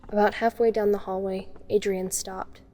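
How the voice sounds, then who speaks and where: A woman's voice: medium pitch, level tone, normal speed, mellow, lightly acted. A woman playing a frightened young woman, narrating a scripted first-person horror story. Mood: somber. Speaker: voice actor narrating a fan audio drama.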